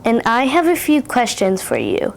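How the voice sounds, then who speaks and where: A woman's voice: fairly high pitch, wildly swooping pitch, normal speed, creaky. A fourth-grade girl hosting an interview, reading her prepared introduction and questions carefully. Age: child, fourth grader. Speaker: student interviewer.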